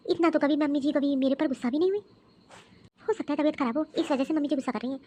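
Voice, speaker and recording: A woman's voice: sits high, racing, close to an everyday voice. A woman voicing a meek, dutiful young daughter-in-law who speaks politely and sounds puzzled in a family skit. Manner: polite, soft-spoken, puzzled.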